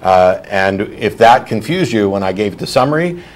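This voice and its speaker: Blunt low-voiced male talk show host. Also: big pitch swings, moderate pace. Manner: blunt, argumentative, explains at length. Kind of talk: call-in show chat.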